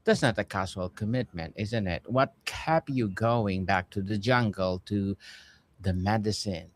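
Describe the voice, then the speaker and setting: A man hosting a podcast, asking formal, measured interview questions over a video call, listing things in short phrases. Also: fairly low pitch. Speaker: podcast host.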